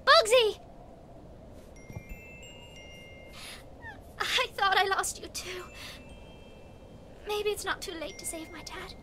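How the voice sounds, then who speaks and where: A woman's voice: very high-pitched, measured pace, bright, forceful tone, a little hiss or hum, exaggerated voice. A woman voicing a brave young girl adventurer searching for her father in a game. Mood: earnest. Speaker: voice actor. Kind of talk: game voice acting. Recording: game audio with music behind.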